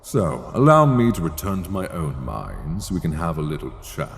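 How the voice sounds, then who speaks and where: A man performing older male sorcerer, very low creaky voice, silky menace, teasing condescension, fantasy ASMR roleplay, long cavernous reverb. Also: lively intonation, a little hiss or hum. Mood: coaxing, threatening. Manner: deliberate, condescending, silky, teasing, formal diction. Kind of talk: slow dark fantasy ASMR roleplay acting.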